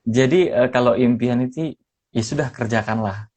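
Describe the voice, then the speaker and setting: A young man from Semarang talks easily on a podcast, his Indonesian lightly mixed with regional accents. He pauses often, filling gaps and restarting phrases. His pitch sits in the middle of the male range. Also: darkish tone. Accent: neutral Indonesian with slight mixed regional traces. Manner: hesitant, many fillers and restarts. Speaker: podcast guest, former radio announcer. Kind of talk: casual interview chat.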